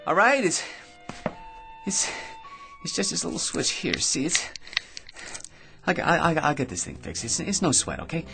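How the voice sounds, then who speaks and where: Rambling everyman, swinging wildly in pitch. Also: wildly swooping pitch, moderate pace, vocal fry, long pauses, over music, exaggerated voice. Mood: flustered, nervous. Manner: rambling, stammering, nervously chattering. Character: hapless ordinary man, cocky then frantic and pleading. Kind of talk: radio drama acting.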